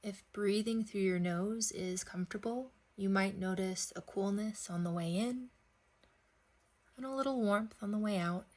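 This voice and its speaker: A woman leading a guided sleep meditation, speaking gently in unhurried phrases with long pauses. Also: mid-range voice.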